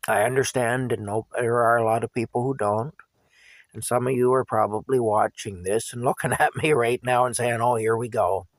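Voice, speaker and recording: A man, likely older, talking earnestly and plainly to his viewers in a candid monologue, his throat going hoarse. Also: mid-range voice, fairly even intonation, moderate pace, no echo. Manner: earnest, plain-spoken, repeats himself for emphasis. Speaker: vlogger.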